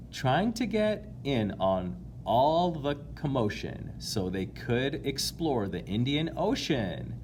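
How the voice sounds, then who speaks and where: A man reading a children's picture book aloud in an expressive, playful way, drawing out key words and chatting to his young listeners. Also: mid-range voice, a little hiss or hum. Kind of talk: animated read-aloud to children, with asides to listeners.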